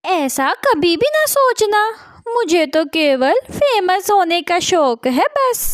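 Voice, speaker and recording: Lady doing vain bossy cartoon crow wife, super high pitched, drags her words out. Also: sing-song, slow, a little hiss or hum, full character voice. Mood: sly, boastful. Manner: showy, self-important, bossy. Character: vain, scheming female crow wife craving fame. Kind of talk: Hindi cartoon character acting.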